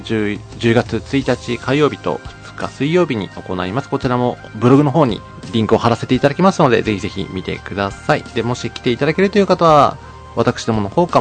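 Male co-host: mid-range voice, animated pitch, polite and apologetic, casual podcast talk. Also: vocal fry. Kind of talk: casual chat and announcements. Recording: music behind the voice. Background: background music.

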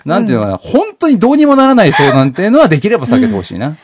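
Very fast-talking man hosting a radio show. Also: high voice, wildly swooping pitch, heavy background noise. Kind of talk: casual comic chat. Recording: slightly muffled, dry audio.

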